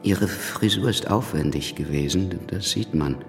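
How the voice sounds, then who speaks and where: Stammering writer, dry wit, halting, unhurried, low-pitched, radio play. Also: male voice. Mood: dry, sardonic. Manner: halting, deliberate, drily sardonic. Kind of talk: radio drama first-person narration. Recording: music behind the voice.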